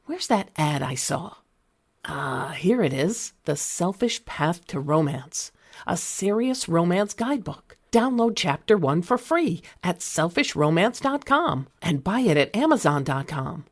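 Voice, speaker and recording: A woman's voice: on the low side, very animated, measured pace, breathy edge, heavily acted. A woman playing a wistful woman who muses to herself about romance in a radio advertisement. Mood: musing, curious. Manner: musing, talking to herself. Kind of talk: acted radio advertisement monologue.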